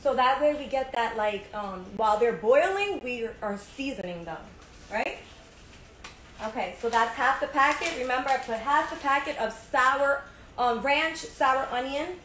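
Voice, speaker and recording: A woman in her thirties talks through a home recipe step by step, chatty and relaxed, often asking "right?". Her voice sits high for a woman. She is recorded on a phone in a home kitchen that rings with echo, with steady background noise behind her. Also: measured pace. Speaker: home cook host. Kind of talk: casual cooking demo. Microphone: phone camera at arm's length.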